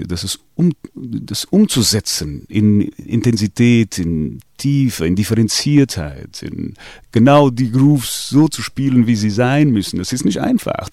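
A man's voice: fairly low pitch, vocal fry, darkish tone, no room sound, clean studio sound. A man, a singer in a band, answering radio interview questions hesitantly with many restarts. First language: Portuguese. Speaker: singer in a latin music band.

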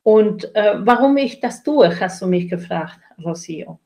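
A woman's voice: medium pitch, expressive, measured pace, a little mellow. The invited guest on an educational talk show, a middle-aged woman with a Latin American accent, earnestly setting out what she believes in. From Chile and Brazil. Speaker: interview guest, trainer and coach. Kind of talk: reflective interview answer about own life and work.

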